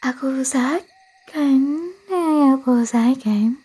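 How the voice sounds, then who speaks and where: An Indonesian woman playing a young vampire girlfriend who craves blood yet means no harm, speaking softly and hesitantly in an intimate, often whispered ASMR roleplay. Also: high voice, takes it slowly, exaggerated voice. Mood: apologetic, gently coaxing. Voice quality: breathy, soft. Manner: hesitant, stammering, self-correcting, coaxing.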